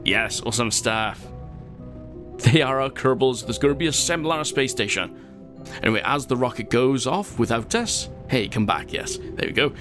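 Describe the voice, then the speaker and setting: A Welsh man with a medium-pitched voice talks through his gameplay in a loose, rambling way, drifting into asides and laughing at his own slips. Quiet music runs under his voice. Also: animated, normal speed. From Wales. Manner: chatty and self-mocking, rambling asides, frequent laughs. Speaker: gaming channel host. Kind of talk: casual gameplay commentary.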